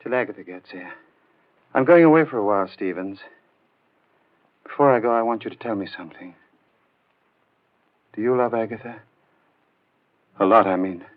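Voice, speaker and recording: An actor playing a controlled, bitter jilted man hiding murderous hatred, quiet and ominous, in 1940s radio horror style. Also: on the low side, normal speed, pauses often, close to an everyday voice. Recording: muffled old 1940s radio broadcast.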